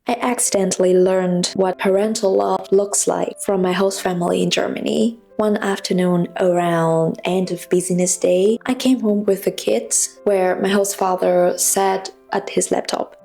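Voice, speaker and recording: Casting: woman, 20s, non-native English speaker, confessional scripted narration, medium pitch with some gravelly fry, faint music underneath. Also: fairly even intonation, unhurried, few pauses, warm tone. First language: not English. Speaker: personal vlogger. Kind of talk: reflective scripted personal narration.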